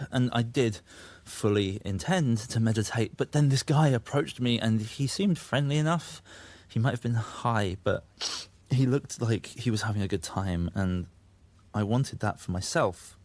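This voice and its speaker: A man's voice: mid-range voice, measured pace, darkish tone, no room sound, close to an everyday voice. An actor playing a troubled, self-justifying young man who confesses and makes excuses in a naturalistic audio drama. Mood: subdued confessing. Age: young adult. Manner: confessional, defensive, sweary.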